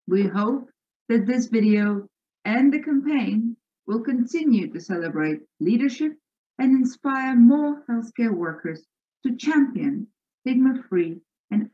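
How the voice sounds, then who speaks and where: A woman project manager giving a measured, prepared presentation over a video call from a small room at home. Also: medium pitch, warm tone. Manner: measured, reading a prepared text. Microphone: laptop webcam on a video call. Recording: dull video-call audio.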